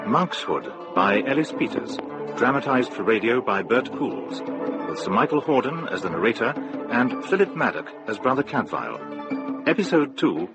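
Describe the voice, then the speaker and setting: A man's voice: mid-range voice, big pitch swings, normal speed, loud music behind the voice, rough recording. A man reading a scripted radio drama announcement as announcer.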